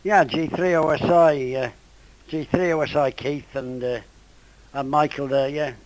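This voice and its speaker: A man's voice: on the high side, steady tone, measured pace, poor audio. An older British man, in his seventies or beyond, chatting casually as an amateur radio operator. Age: 70s or older. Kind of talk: casual ham radio conversation.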